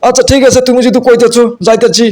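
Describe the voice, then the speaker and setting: A man's voice: extremely high pitch, flat delivery, fast, darkish tone. A man with a colloquial Dhaka accent voicing a brash young student sidekick in a comedy cartoon, blunt and scolding in street talk. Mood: doubtful, protesting. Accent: Dhaka colloquial Bangladeshi.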